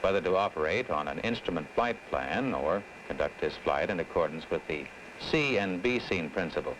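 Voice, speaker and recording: An earnest 1960s American air traffic official in an educational film. His low voice moves in lively swings as he explains things in measured, affable fashion. The old soundtrack carries faint noise. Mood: explaining. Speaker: actor as air traffic specialist. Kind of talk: scripted 1960s educational film dialogue and narration.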